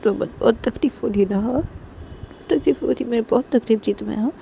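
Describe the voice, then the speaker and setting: A woman voicing a remorseful, tender lover in a story, softly and insistently repeating reassurances and promises. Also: a little high, fairly quick, gravelly, slight background noise, voice pushed up. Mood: soothing, remorseful. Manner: soft, insistent, repetitive reassurance.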